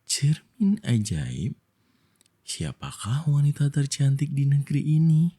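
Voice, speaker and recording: A man voicing a storybook queen in a soft, breathy, dreamy murmur. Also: mid-range voice, big pitch swings, slow pace, voice pushed up, heavily acted. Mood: vain, questioning. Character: gentle, wistful storybook queen, vain when questioning mirror.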